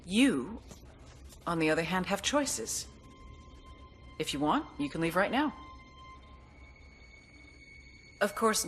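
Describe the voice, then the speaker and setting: A woman's voice: fairly low pitch, a little hiss or hum. An American woman playing a hard-edged, cynical female intelligence agent in a video game, dry and sardonic. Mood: quiet menace. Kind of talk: scripted game cutscene narration and dialogue.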